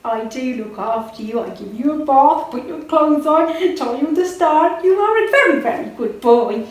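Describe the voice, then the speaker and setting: A woman's voice: high-pitched, animated, moderate pace. A woman voicing a caring grown-up lady puppet, gushing with praise and prompting with questions in a children's show. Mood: tender praise. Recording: echoey room, distant microphone.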